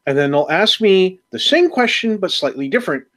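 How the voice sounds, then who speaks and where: A man's voice: sits high, expressive, a little fast, a bit bright. A man co-hosting a casual podcast, ranting with sarcastic jokes. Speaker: podcast co-host. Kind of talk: casual round-table chat.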